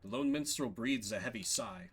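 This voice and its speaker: A man's voice: mid-range voice, normal speed, slight background noise. A man streaming a story game, reading its narration aloud like a storybook with casual asides.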